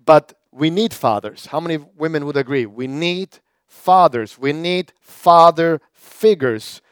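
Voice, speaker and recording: Male pastor, crisp dry voice. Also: a little high, a little slow, dry recording. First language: German. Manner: conversational preaching with repetitions and fillers. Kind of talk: sermon.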